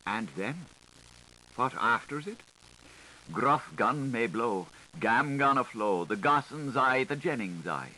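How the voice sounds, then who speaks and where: A man reading literary prose aloud as an audiobook narrator, in a playful, declamatory, theatrical way with deliberate phrasing, on a 1980s recording. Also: mid-range voice, sharp tone, a little hiss or hum, rough recording.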